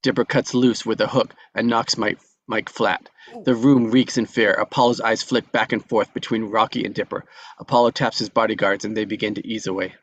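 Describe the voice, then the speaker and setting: A man reading the stage directions at a live script table read, steady and measured. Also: fairly low pitch, no echo, muffled recording.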